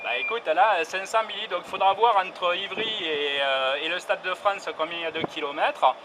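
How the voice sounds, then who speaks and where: A man's voice: sits high, moderate pace, background noise. A man doing a casual radio check outdoors with handheld radios, talking with lots of hesitations and fillers. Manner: hesitant, many fillers and restarts. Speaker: radio hobbyist testing handhelds. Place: outdoors on a high building in the city. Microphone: handheld radio and camera.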